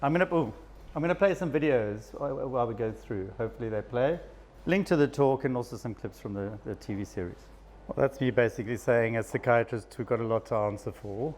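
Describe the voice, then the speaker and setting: A man's voice: mid-range voice, fairly quick, a little mellow. A man from South Africa with a South African accent, a psychiatrist giving a casual, self-deprecating talk to a live audience. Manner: chatty, self-deprecating, with frequent laughs. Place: event room with an audience.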